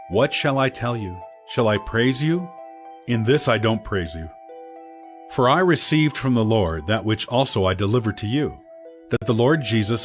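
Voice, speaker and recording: Lively-pitched male scripture narrator over music. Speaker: audio Bible narrator. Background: background music.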